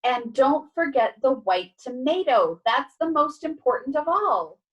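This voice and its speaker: A woman's voice: sits high, sing-song, a little slow, distant mic, muffled recording, pitched above the performer's own voice. A woman voicing a bossy, self-important male cat in a storybook, with a commanding, exasperated and insistent manner. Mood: triumphant.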